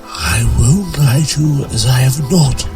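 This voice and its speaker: A man voicing a kind, sad old wizard who speaks gently and patiently, in an amateur audio drama. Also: mid-range voice, animated, unhurried, lightly acted. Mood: gentle. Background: music.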